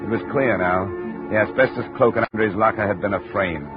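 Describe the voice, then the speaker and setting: A man's voice: lowish voice, brisk, close to an everyday voice. An actor playing a hard-boiled insurance investigator, narrating his case in clipped, terse sentences in a 1950 radio drama. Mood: regretful. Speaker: lead and narrator. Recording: muffled 1950 radio broadcast with music.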